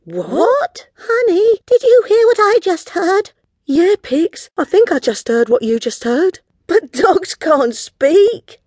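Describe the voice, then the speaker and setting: A woman voicing an eager, excitable young girl with a wide-eyed, exclaiming manner in a children's adventure story. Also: high-pitched, normal speed, no room sound, studio quality, pitched above the performer's own voice. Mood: astonished.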